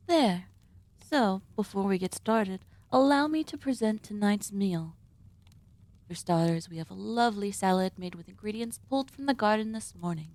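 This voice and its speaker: A woman performs a genteel female cannibal hostess in an ASMR audio roleplay. She speaks at a medium pitch with deliberate, courteous phrasing. Her politeness has a sinister edge, addressed straight to the listener. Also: darkish tone, lightly acted. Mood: refined, hospitable. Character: gracious, sinister female cannibal surgeon hosting dinner.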